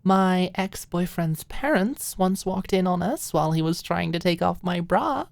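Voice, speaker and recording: Woman acting a bashful tipsy young woman, higher-pitched, sheepish, wry, mock-scandalized audiobook character. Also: animated, a little slow, gravelly, no room sound.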